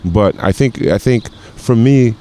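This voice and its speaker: A man's voice: medium pitch, a little slow, mellow. A man in his forties from Grenada, a chef guest talking casually, with lots of repeated words and fillers. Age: 40s. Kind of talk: casual conversation.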